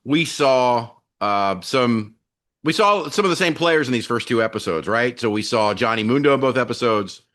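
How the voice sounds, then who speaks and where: A man with an average-pitched voice hosts a wrestling podcast. He talks informally to his co-host and his listeners, chummy and loose, with the odd mild swear. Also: animated, moderate pace, fairly bright tone. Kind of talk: casual wrestling podcast chat.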